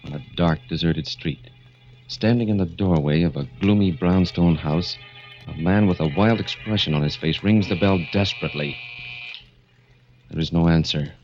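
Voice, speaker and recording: A man narrating an old radio horror show in a slow, ominous announcer's voice. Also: low-pitched, darkish tone. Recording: muffled old radio with organ music.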